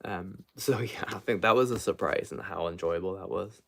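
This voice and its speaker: A man's voice: deep voice, normal speed, gravelly, a bit bright. A man chatting casually and hesitantly as he reviews books, often trailing off and rephrasing mid-thought. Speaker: book reviewer hosting a live video. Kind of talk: casual rambling chat to viewers.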